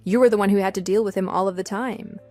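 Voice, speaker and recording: A woman's voice: mid-range voice, fairly even intonation, fast, mellow, lightly acted. A woman playing a loyal, easily exasperated wife in a scripted online radio drama. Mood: curious. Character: exasperated, loyal wife, indignant but trusting. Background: background music.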